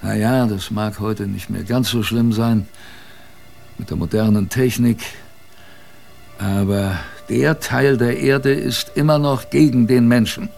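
An actor playing an old grandfather and polar expedition survivor, telling his tale tenderly and proudly in a radio drama, with reflective pauses. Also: medium pitch, steady tone, creaky, a little mellow, faint music behind. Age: older.

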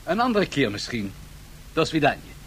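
Shrewd tycoon, lively high-pitched voice. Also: male voice, moderate pace. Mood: cool. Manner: businesslike, calculated bargaining. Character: shrewd, unyielding Swedish match tycoon negotiating huge deals. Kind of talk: 1970s Dutch radio drama acting. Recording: noisy 1970s radio drama recording.

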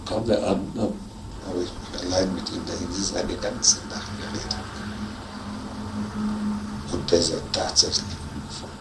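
A man recounting his own past as a former officer, halting and emphatic, repeating himself as he goes. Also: low voice, fairly even intonation. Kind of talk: spontaneous recollection. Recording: echoey, distant, noisy archive tape.

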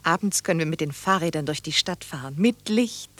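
An actress playing a hopeful, earnest young wife after the war, speaking quietly in a radio drama. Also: female voice, low voice, animated, a little fast.

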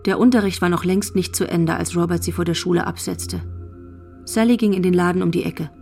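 Low-voiced woman narrator over music. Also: fairly even intonation, brisk, warm tone. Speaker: narrator of a radio drama. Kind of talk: scripted literary narration. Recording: music bed under the voice.

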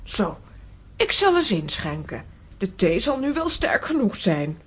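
Brisk, lively aunt. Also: female voice, mid-range voice, slightly rough. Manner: brisk, instructing. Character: brisk, bossy, caring aunt of children. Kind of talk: children's audio drama acting.

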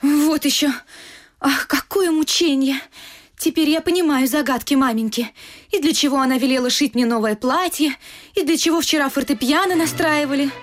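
A sheltered young lady of the gentry, played by an actress in a theatrical radio comedy. Her voice sits very high and girlish, and she speaks in nervous, willful exclamations with plenty of drama. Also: fairly even intonation, moderate pace, natural voice. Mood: anguished.

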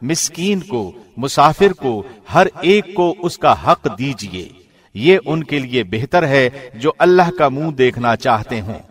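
Man reading in measured formal tones. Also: medium pitch. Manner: measured, formal, even delivery. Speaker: translation reader, radio host. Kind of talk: scripted reading.

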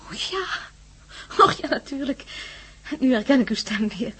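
A woman's voice: high voice, animated, moderate pace, pushed and bright, husky, full character voice. A woman playing a polite, slightly flustered, anxious wife in a radio play. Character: polite, anxious wife awaiting her husband. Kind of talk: Dutch radio play acting. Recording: noisy old radio phone scene.

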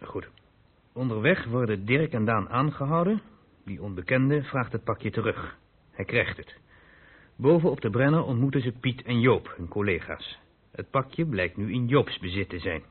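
An actor playing a stern, reproachful police inspector in a radio drama, questioning in a clipped, insistent, authoritative way. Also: male voice, mid-range voice, expressive, normal speed, slightly rough. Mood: businesslike. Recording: muffled narrow-band old radio recording.

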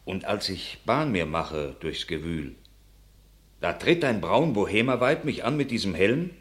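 A man's voice: fairly low pitch, sing-song, unhurried, a bit bright. An actor playing an earnest country man who recounts grim war news with dramatic force in classic radio drama verse. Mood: recounting.

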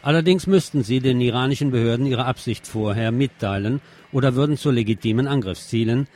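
A man's voice: mid-range voice, a little fast, darkish tone. A man presenting scripted news commentary as a radio presenter, with pointed, sardonic phrasing.

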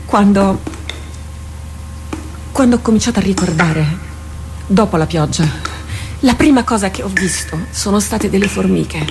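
A woman playing a tormented, haunted warrior captain in a radio drama, halting and brooding, repeating herself. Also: mid-range voice, animated, gravelly, over music. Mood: wistful. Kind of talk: theatrical radio drama acting. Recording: noisy radio drama recording with clicks.

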